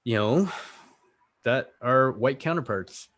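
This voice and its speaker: A man's voice: mid-range voice, lively intonation, slow, fairly bright tone. A man, whose was Korean, talking as a podcast guest in a reflective, earnest way and often checking in with "right?". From Canada. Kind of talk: casual conversation.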